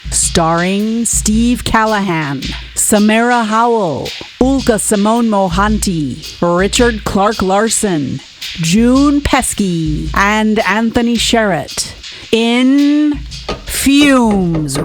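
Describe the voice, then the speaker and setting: A woman's voice: mid-range voice, lively intonation, drawn-out words. A woman announcer reading a scripted credits introduction for an audio drama. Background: music.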